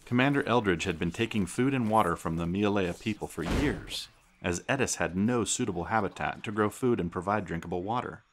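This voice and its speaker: A man's voice: on the low side, brisk, lightly acted. A man playing a grandfather who narrates a space saga to his grandchild in an audio drama. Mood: suspenseful narration. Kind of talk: storytelling narration. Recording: clear background noise.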